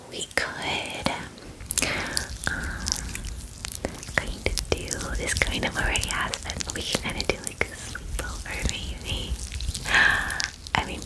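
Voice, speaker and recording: A friendly female dragon acted out by a woman pampers a guest in an ASMR roleplay. She speaks in a soft, breathy whisper, very slowly and gently. Her manner is caring and casually chatty, with small hesitations. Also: poor audio.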